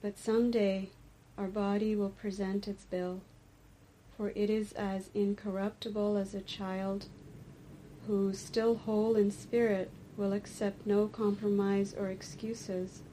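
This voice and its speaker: Very slow, soft-toned woman leading meditation. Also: medium pitch, level tone, a little hiss or hum, poor audio. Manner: slow, deliberate, pausing between short phrases. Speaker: meditation teacher. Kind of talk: guided meditation and dharma talk.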